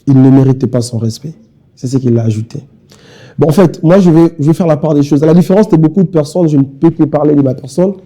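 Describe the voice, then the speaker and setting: Bright-voiced young Congolese party spokesman. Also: fairly high pitch, quick pace. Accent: Congolese French. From Kinshasa, DR Congo. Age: young adult. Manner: insistent, repetitive speechmaking. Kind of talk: televised interview.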